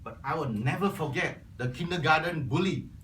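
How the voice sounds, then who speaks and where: Cantonese-native guy giving an earnest club speech, kinda high pitched, mic far off, roomy echo. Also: expressive, normal speed, background noise. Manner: earnest, clears throat often. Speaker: incoming club membership officer. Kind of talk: prepared speech to a club meeting. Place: club meeting room. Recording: echoey room, from far off.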